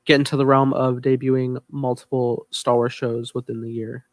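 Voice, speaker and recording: A man's voice: medium pitch, level tone, normal speed, dry recording. A man hosting a podcast, leading the topics in a casual, conversational way.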